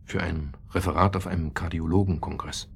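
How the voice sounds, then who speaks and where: An actor playing a grieving, guilt-obsessed widower in a radio crime drama, speaking haltingly and repeating himself. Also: male voice, low-pitched, steady tone, gravelly. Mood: bitter, sardonic. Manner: halting, repeats himself, sudden agitated outbursts.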